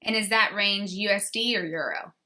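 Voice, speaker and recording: Woman interviewer, bright crisp voice, brisk questions, mid pitch. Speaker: interview host.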